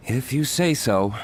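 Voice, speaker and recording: A man voicing a sceptical, cautious, level-headed warrior in a video game, speaking in a dry, deliberate, reserved way. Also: lowish voice, slight background noise. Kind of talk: game voice acting.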